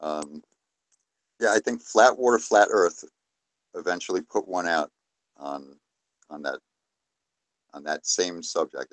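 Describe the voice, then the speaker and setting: A man's voice: sits low, dry recording. A man from New City, rambling through a casual live-stream discussion with many fillers. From New York City, USA. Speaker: guest.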